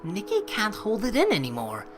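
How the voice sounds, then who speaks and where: A chatty, sweary woman doing casual, expressive let's play commentary and reading narration aloud. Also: medium pitch, normal speed, music in the background. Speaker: let's player.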